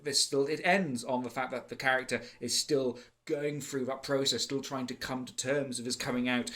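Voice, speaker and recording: A man's voice: mid-range voice, steady tone, moderate pace, a bit bright. Enthusiastic Welsh man reviewing a play. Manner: enthusiastic, rambling with many fillers and restarts. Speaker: theatre reviewer. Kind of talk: casual spoken review.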